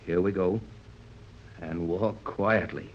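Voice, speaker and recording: A deep-voiced, commanding sea captain, old radio. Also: male voice, steady tone. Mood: tense, cautious. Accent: American. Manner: firm, deliberate, giving orders. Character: commanding adventurer sea captain, steady and grave, protective leader. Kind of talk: 1930s radio serial acting. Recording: muffled, lightly noisy 1930s radio recording.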